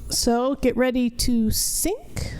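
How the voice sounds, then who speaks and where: Mellow, higher-pitched middle-aged woman podcaster. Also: animated, slow pace. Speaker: podcast co-host. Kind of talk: casual podcast banter.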